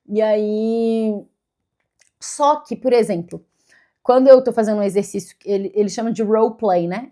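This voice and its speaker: A woman's voice: fairly high pitch, darkish tone. A woman with a Brazilian Portuguese accent chats casually to her followers as a vlogger, explaining things and checking in with tag questions. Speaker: vlogger, career coach. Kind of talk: casual personal vlog chat to followers.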